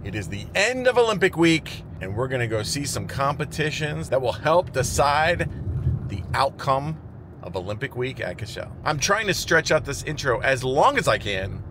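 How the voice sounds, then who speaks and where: A man hosting a casual vlog at an outdoor school event, chatty and upbeat, cheering the kids on. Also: medium pitch. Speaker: vlog host. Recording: outdoor crowd noise with music behind. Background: crowd of kids and music.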